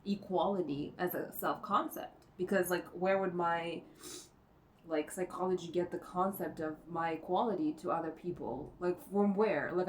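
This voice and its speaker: A woman vlogging from home, thinking out loud in a rambling way, full of fillers and cursing. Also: fairly low pitch. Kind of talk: rambling think-aloud monologue. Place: indoors at home. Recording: distant mic with faint noise.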